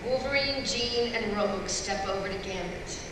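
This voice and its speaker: A woman narrates stage directions from a script, live. Her voice carries through a large, strongly echoing hall. The microphone sounds distant, and there is clear noise in the background. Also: mid-range voice, normal speed. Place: large indoor convention hall before fans. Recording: very echoey convention hall, distant, noisy.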